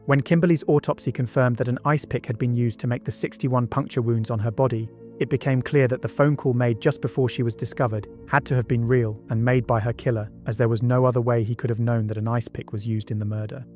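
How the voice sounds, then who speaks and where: A man's voice: medium pitch, steady tone, quick pace, few pauses, a little mellow. Male true-crime narrator over music. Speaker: documentary narrator. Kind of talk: scripted true-crime narration with conversational asides. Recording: music bed behind the voice. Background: background music.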